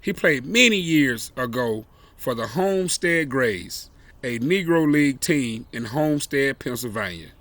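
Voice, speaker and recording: A man reading a children's story aloud expressively, with deliberate, emphatic phrasing and dramatic pauses. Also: mid-range voice, lively intonation, a bit bright, no room sound. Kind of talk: expressive read-aloud storytelling with brief asides.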